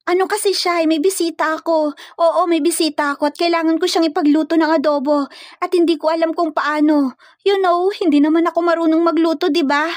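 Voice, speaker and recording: A woman plays a flustered young country girl working as a maid in a narrated romantic comedy. The voice is very high and close, with little room sound. The delivery is dramatic, whiny and stammering, full of nervous fear. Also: fairly even intonation, brisk, no room sound. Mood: worried.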